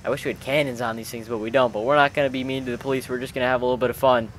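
A man's voice: mid-range voice, level tone, racing, fairly bright tone. Casual male gamer, vehicle noise behind. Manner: chatty, informal, peppered with bro-style slang. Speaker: gaming video host. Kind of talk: casual roleplay commentary while playing a video game with friends.

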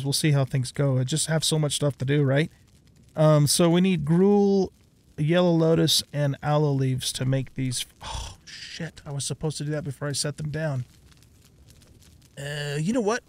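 A middle-aged man giving casual let's play commentary, thinking aloud as he plays. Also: fairly high pitch. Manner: talks to himself, thinking aloud.

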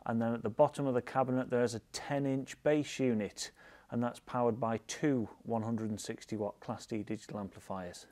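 A man's voice: medium pitch, steady tone, fairly quick, a little mellow. Enthusiastic British man presenting a product. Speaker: audio shop presenter. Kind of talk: product review narration to camera.

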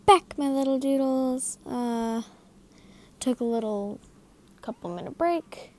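Tired young woman, slow rambling voice-over. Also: high-pitched, expressive, a little mellow. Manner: rambling, filler-heavy, often apologizing. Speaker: drawing video creator. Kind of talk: casual voice-over narration while drawing. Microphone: mic right next to her mouth.

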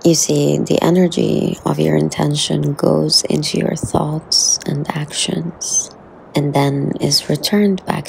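A woman leading a guided meditation in a soothing, unhurried way, with soft, breathy phrase endings and long pauses. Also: low-pitched, a little hiss or hum, poor audio.

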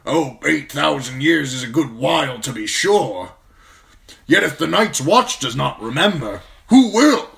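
A man voicing a blunt, gruff old military lord who gives brusque orders, in an audiobook reading. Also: a little high, very animated, a little slow, fairly bright tone, pitched above the performer's own voice, heavily acted.

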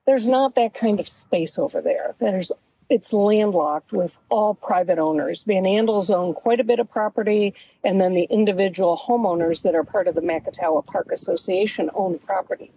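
A middle-aged woman answering as an interview guest outdoors, explaining things in a measured, conversational way. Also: medium pitch, dark tone, slightly rough, poor audio. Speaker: interview guest, local author. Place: outdoors at a beach picnic table. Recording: outdoor recording with faint background noise.

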